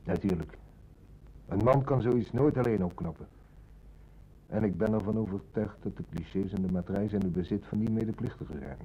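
An actor playing a polite, firm and determined police inspector in a radio play, speaking in a formal, measured way. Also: male voice, fairly low pitch, slightly rough. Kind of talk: Dutch radio drama acting. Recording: dull, noisy old radio recording.

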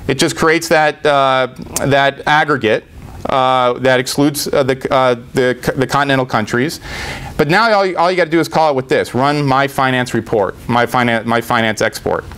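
A middle-aged man giving a live software demo in an informal, step-by-step explanatory way. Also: medium pitch, moderate pace, clear, resonant tone. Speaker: tech presenter. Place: indoor meetup presentation room.

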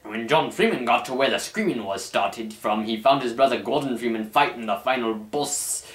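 A man's voice: mid-range voice, normal speed, fairly bright tone. A young man reading a story aloud as host, in a mock-formal, theatrical storyteller's manner, recorded indoors a little way from the mic. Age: young adult. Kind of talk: mock-dramatic scripted narration. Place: small indoor room at home. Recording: roomy camera sound.

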